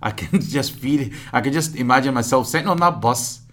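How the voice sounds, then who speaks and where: A man in his fifties from Pakistan, reminiscing in an interview in a halting way full of fillers. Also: medium pitch, fairly bright tone. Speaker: guest.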